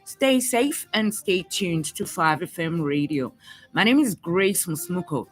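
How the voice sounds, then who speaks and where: A woman's voice: fairly low pitch, lively intonation, a little slow, soft background music. A woman with a Zambian accent reads a scripted radio news bulletin as the news reader.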